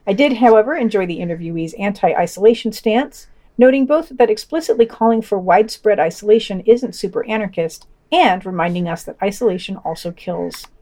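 A woman's voice: mid-range voice, brisk, hardly any pauses, warm tone. A woman presenting a podcast, reading scripted reviews with wry, informal, opinionated asides.

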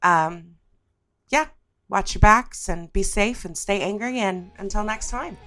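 A woman's voice: mid-range voice, measured pace, sharp tone, faint music behind. A woman from the United States in a casual podcast chat, talking in a wry, reflective, rambling way. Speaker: podcast guest discussing topic of the week.

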